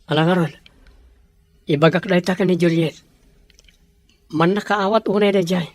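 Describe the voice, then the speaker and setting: A man's voice: high-pitched, fairly even intonation, moderate pace, dry recording, lightly acted. A man playing a jobless middle-aged son, subdued and respectful. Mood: worried.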